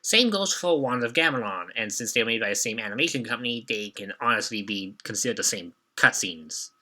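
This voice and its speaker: Young male reviewer with a sharp, forceful, very bright voice, reading scripted retrospective commentary. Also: on the low side, lively intonation, fairly quick. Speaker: video reviewer and host.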